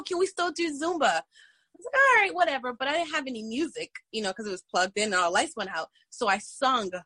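Fitness-instructor woman, husky, slightly high voice, dry close sound, animated storyteller. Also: dry recording. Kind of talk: casual storytelling chat.

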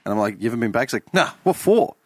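A man's voice: medium pitch, big pitch swings, rapid-fire, no room sound. A man hosting a podcast, asking blunt, curious questions in casual chat and swearing freely. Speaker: podcast host.

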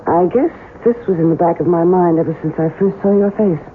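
An American actress playing a scheming, seductive wife in a radio drama, coaxing and insistent. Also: female voice, lowish voice, brisk, lightly acted. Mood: coaxing, probing. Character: scheming, seductive wife coaxing her lover toward murder. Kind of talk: 1970s radio drama acting. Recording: tinny, faintly hissy old radio broadcast.

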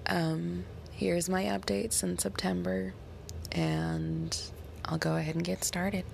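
A low-voiced woman in her thirties speaks with a hoarse, heavily gravelly rasp from a bout of bronchitis. She talks in a halting, casual way, slowing down to stress her points. A faint hiss sits under her voice. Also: little pitch movement, darkish tone. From United States. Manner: halting, frequent ums, slows to stress points. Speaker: podcast host. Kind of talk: casual personal update.

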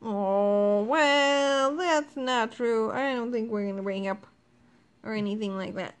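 High-voiced woman chatting on a podcast. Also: slow pace, clear, resonant tone. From Ohio, USA. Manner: chatty, defensive, laughs often. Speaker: podcast co-host. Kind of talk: casual couple chat.

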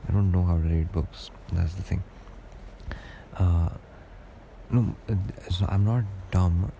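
Deep, gravelly-voiced young man. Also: takes it slowly, dark tone, slight background noise. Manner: rambling and hesitant, many fillers and restarts. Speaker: podcast host. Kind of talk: casual solo podcast monologue.

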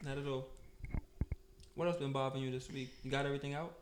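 A man's voice: mid-range voice, flat delivery, fast talker, background noise. A young man from the United States co-hosting a casual chat, blunt and teasing in a laid-back way.

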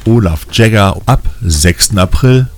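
A man's voice: lowish voice, lively intonation, measured pace. A man hosting a film news podcast, reading scripted previews of new releases. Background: faint music.

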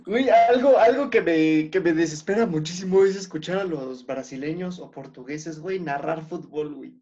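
A man's voice: fairly high pitch, normal speed, dark tone. A man chatting casually with friends, joking and saying "güey" constantly. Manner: jokey, says "güey" constantly, mimics other accents. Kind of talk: casual banter among friends. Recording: dull lo-fi audio.

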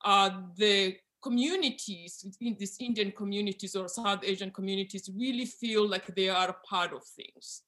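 Woman, bright forceful voice, medium pitch, researcher speaking on a panel, thinking aloud with repeated words. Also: moderate pace, few pauses. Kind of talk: academic discussion, explaining research.

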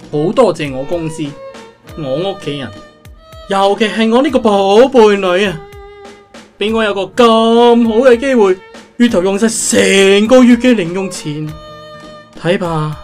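A woman's voice: mid-range voice, animated, a little slow, pauses often, a little mellow, natural voice. A woman playing a bumbling, good-humoured middle-aged father with a Hong Kong accent in a comic audio drama. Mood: jovial. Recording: music and sizzling behind the voice.

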